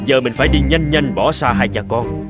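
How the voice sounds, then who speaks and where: An actor playing a greedy, foolish poor peasant in a cartoon folk tale, whining and grumbling. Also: male voice, medium pitch, normal speed, over loud music, natural voice. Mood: gleeful, scheming.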